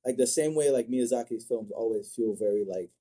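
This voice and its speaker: A man from Africa chatting casually as an interview guest, thinking aloud in a halting, word-repeating way. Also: medium pitch, warm tone, husky. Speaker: manga creator, podcast guest.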